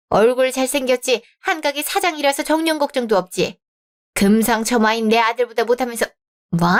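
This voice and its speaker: A haughty middle-aged mother-in-law who scolds and talks down to everyone, read by a woman in a radio drama. She speaks in a fairly high voice with a condescending edge. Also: lightly acted.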